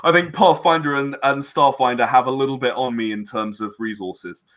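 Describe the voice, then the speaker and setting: A man with a bright, mid-pitched voice talks as the guest in a casual interview. He is earnest about his creative work and restarts phrases haltingly as he thinks them through. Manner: hesitant, halting, repeats words. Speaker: interview guest, game and audio drama creator.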